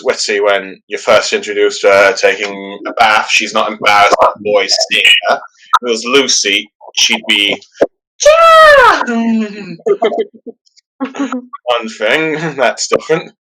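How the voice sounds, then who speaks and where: A man hosting a podcast, talking through a story in a halting way and often pausing on "let's see". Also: lowish voice, bright, forceful tone, rough recording. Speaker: podcast host. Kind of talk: casual podcast discussion.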